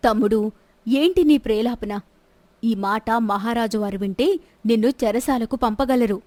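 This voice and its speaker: A woman's voice: fairly high pitch, measured pace, mellow, no echo, natural voice. A woman voicing an imperious, indignant queen and protective elder sister in a story drama, stern and commanding. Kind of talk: scripted Telugu moral-story dramatization.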